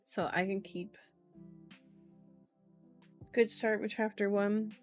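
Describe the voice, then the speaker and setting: A woman's voice: medium pitch, steady tone, slow pace, faint music behind. A sleepy woman hosting an ASMR stream, chatting casually to viewers in a cutesy, playful, rambling way.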